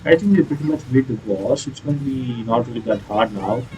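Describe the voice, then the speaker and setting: A man giving casual, explanatory commentary on a video game playthrough in a hesitant, halting way. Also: medium pitch, darkish tone, a little hiss or hum, low-quality recording. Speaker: gaming video creator.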